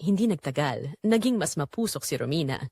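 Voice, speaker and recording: A woman's voice: low voice, lively intonation, a little fast, mellow, husky, no room sound, studio quality. A woman with a Filipino accent reads a scripted dramatic story as its narrator.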